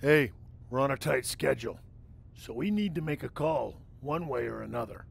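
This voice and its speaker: An actor playing a businesslike wrestling faction leader in a video game cutscene. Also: male voice, medium pitch, normal speed, creaky. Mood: businesslike, firm.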